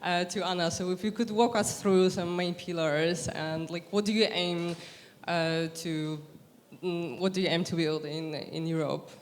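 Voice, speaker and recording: A woman's voice: lowish voice, fairly even intonation, a little slow. A woman moderating a conference panel, introducing herself and asking questions with many fillers. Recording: hall reverb.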